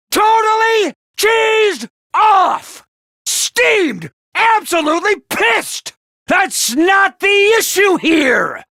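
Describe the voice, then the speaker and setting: Squeaky little robot commander guy, super bossy and showy, swoops up and down, bright dry studio sound. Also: no room sound, heavily acted. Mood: huffy. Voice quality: squeaky, over-the-top. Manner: grandstanding, self-important, prone to shouting. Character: small bossy male robot commander, theatrical and excitable. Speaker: voice actor. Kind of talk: video game voice acting. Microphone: dry studio mic.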